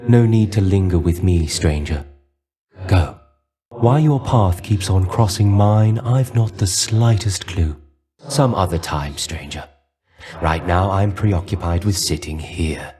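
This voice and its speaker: Dead ancient Greek warrior, video game character, deep mellow voice, unhurried, world-weary, brooding, aloof, old-fashioned formal wording. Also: male voice. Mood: aloof, resigned, then weary, dismissive. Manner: resigned, aloof, formal archaic phrasing.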